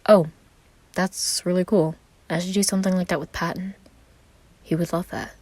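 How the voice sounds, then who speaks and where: A woman puts on a shy young man for an audio story. The voice is low and small, with careful, halting phrasing. It sounds dry and close, and quietly guarded. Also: steady tone, breathy edge, no echo. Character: shy, hesitant, soft-spoken tall young man. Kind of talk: fan fiction audio reading.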